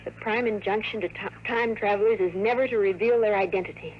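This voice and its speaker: A woman's voice: mid-range voice, a little fast, muffled recording, close to an everyday voice. Woman acting an earnest, conflicted radio heroine. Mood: earnest, resigned. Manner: earnest, intense, melodramatic. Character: earnest, conflicted young woman time traveler, anxious. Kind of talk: 1950s radio drama acting. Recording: noisy old radio drama recording.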